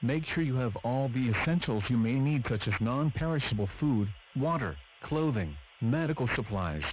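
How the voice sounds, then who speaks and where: A man with a flat, synthetic-sounding delivery reads formal scripted announcements as a weather radio broadcaster. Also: medium pitch, dull audio, a little hiss or hum.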